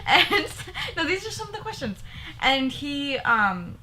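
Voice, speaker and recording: Young woman vlogger, giggly and rambly, higher bright voice, faint room hiss. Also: very animated, unhurried. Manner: giggly, rambling, repeats herself. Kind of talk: casual couple Q&A vlog.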